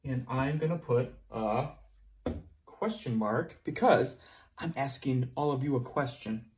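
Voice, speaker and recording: A young man teaching preschoolers online at a whiteboard, talking slowly and encouragingly in a sing-song way, moving toward and away from the camera. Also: mid-range voice, darkish tone. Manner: slow, sing-song, encouraging teacher talk to small children. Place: classroom at a whiteboard. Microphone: camera close up, moving away to write.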